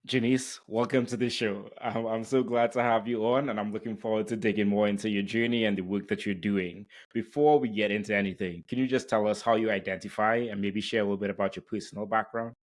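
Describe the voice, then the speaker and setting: A man's voice: on the low side, fairly even intonation, brisk, few pauses. A man hosting a careers podcast, introducing guests and interviewing them. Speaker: podcast host.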